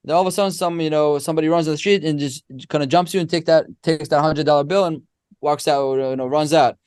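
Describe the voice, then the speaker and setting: Man, podcast guest, smooth clear tone, dry close sound, animated explainer. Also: on the high side, quick pace, no room sound. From Israel. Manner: animated, explanatory storyteller with many restarts. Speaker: guest, company co-founder. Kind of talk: casual podcast interview.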